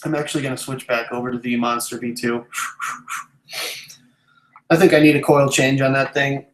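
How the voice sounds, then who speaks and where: A man's voice: mid-range voice, steady tone, normal speed, noisy background. Echoey young man chatting on a livestream. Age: 20s. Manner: rambling, thinking aloud. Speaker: live stream host, vape product reviewer. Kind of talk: casual live stream chat, answering viewer questions.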